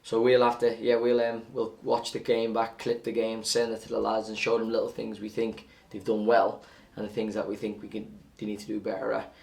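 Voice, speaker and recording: Male football coach, low gravelly voice, matter-of-fact post-match reaction, slightly off-mic. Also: completely flat delivery, brisk, few pauses, darkish tone, far from the mic.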